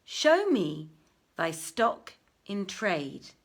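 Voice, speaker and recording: A woman's voice: mid-range voice, sing-song, very slow, full character voice. A woman voicing a curious, imperious yet generous young prince in a declamatory, archaic storybook reading. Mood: commanding, insistent. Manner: declamatory, emphatic.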